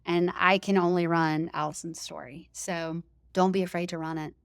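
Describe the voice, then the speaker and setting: A woman who hosts a running podcast, talking as an interview guest in a loud, emphatic, enthusiastic way and laughing easily. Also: fairly low pitch, steady tone, normal speed, dry recording, studio quality. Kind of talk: casual interview chat.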